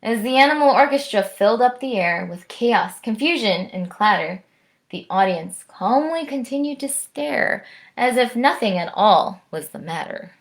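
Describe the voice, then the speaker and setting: An American woman reading aloud and chatting to young children in an expressive storytime manner. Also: fairly high pitch, normal speed, creaky. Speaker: orchestral musician, guest storytime reader.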